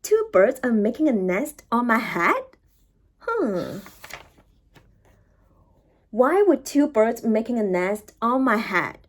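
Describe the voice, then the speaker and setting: A woman's voice: a little high, very animated, slow pace, long pauses, full character voice. A woman voicing an anxious, exasperated elephant from a children's storybook, full of incredulous questions. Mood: puzzled, worried.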